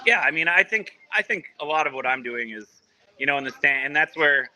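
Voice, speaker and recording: Soccer club dude on a video call at training, super bright voice, rambling and restarting. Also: male voice, on the high side, animated, brisk, slight background noise, poor audio. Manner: rambling, full of restarts and fillers. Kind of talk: casual remote interview. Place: at a team training ground.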